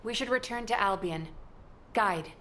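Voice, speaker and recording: A composed female squad leader in a sci-fi game, played by an actress. Her mid-pitched voice is firm and formal, with a faint rumble behind it. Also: normal speed, bright, forceful tone, natural voice. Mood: brisk, formal. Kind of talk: video game voice acting.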